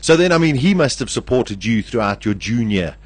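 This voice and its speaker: A man's voice: mid-range voice, very animated, brisk, breathy edge, no room sound. A male radio host, chatting casually and teasing his guest with playful jokes. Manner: jokey, teasing, acts out playful quotes. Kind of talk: casual interview chat. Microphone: studio microphone.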